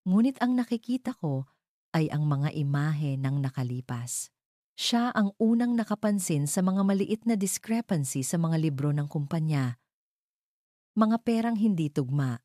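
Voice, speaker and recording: A woman narrator reads a scripted first-person drama. Her voice sits very low for a woman and has a dark, soft-edged tone. The sound is close and dry, with no room around it. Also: lively intonation, measured pace, no room sound. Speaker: story narrator.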